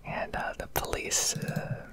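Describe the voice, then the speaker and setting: A woman's voice: unhurried, a bit bright. A young American woman whispers a casual, reflective ASMR ramble close to the microphone while gaming. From United States. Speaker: ASMR streamer.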